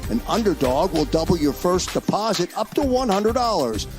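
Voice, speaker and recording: A man's voice: sits high, very animated, moderate pace, music in the background. A man half-singing a promo jingle for a sports betting ad, chanting the slogan in rhythm. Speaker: ad singer. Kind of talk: sung jingle. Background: hip hop beat.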